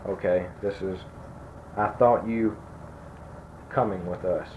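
A man in his sixties, speaking softly and slowly as he asks grammar fill-in-the-blank questions, his sentences trailing off. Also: low-pitched. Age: 60s. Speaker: interviewer giving a grammar quiz. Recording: dull lo-fi tape with faint noise.

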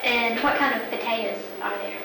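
A woman's voice: on the high side, fairly even intonation, normal speed. A woman interviewer patiently coaxing answers with prompting questions. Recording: echoey, distant, noisy recording.

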